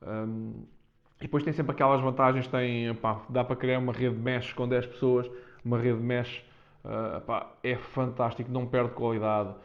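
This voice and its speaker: A man with a European Portuguese accent presents in a casual vlog style. His voice sits at an average male pitch, and he speaks off the cuff, often repeating phrases as he goes. The recording is dull and lo-fi. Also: flat delivery, moderate pace. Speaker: vlogger presenting a product unboxing. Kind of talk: casual unscripted unboxing talk to viewers. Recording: dull, muffled lo-fi sound.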